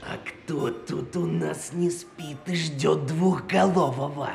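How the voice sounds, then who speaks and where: A man playing a teasing, gruff two-headed superhero with a gravelly voice in an audio drama. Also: on the high side, takes it slowly, darkish tone, soft background music.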